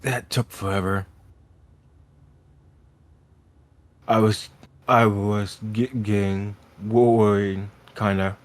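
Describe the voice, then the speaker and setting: A man's voice: lowish voice, flat delivery, slow, no room sound. A man voicing an awkward, lovesick young troll who stammers nervously and swears in an amateur webcomic dub. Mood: sullen, relieved. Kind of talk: amateur dramatic reading of a webcomic.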